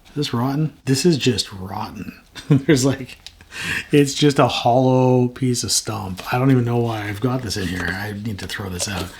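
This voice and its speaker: A man's voice: mid-range voice, moderate pace, darkish tone, a little hiss or hum. A man with a sore throat, chatting casually and frankly to camera as the host of a plant channel. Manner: rambling, frank, self-mocking.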